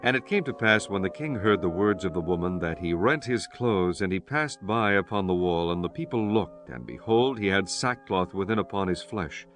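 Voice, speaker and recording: A man narrates a dramatized scripture audiobook over a music bed. His voice sits low and moves expressively through the verses. The delivery is solemn and measured, like formal storytelling. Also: lively intonation, a bit bright.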